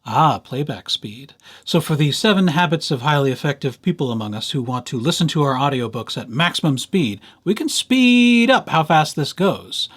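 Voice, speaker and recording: Male tutorial host, slightly high voice, very animated intonation, casual and wry with playful asides. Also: normal speed. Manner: playful, dryly humorous asides. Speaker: library presenter, tutorial host. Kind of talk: casual screen-share software tutorial.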